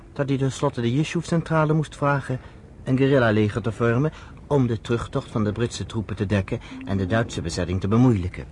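Actor as a low-voiced storyteller in radio drama. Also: male voice, a little mellow, lightly acted. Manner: measured, explanatory storytelling. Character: man recounting recent history to a woman friend. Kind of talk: Dutch radio play narration. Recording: noisy old radio play recording.

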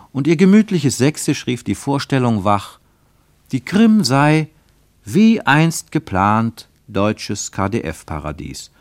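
A man's voice: medium pitch, no room sound. A man reading scripted literary narration for a radio play, as its narrator.

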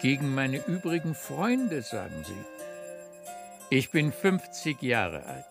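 A man's voice: mid-range voice, big pitch swings, slow, creaky, music in the background, heavily acted. An actor playing an effusive, opinionated country baron in his fifties in a German radio play, courtly and blustering. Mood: effusive, courting friendship. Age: 50s.

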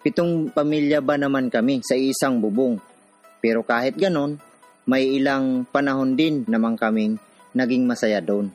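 A man's voice: mid-range voice, steady tone, normal speed, darkish tone, soft background music, lightly acted. An actor playing a poor man from a city slum, narrating his own hard life in a scripted radio drama. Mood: somber, reflective.

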